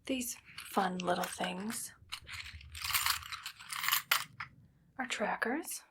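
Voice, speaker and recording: A woman voicing a coolly menacing evil doctor in an ASMR roleplay, soft-spoken, breathy and unhurried. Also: medium pitch, heavy background noise, natural voice. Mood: cool, commanding. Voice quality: breathy, whispery, creaky. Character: evil female doctor, coolly menacing, controlling mad scientist. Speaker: ASMR performer.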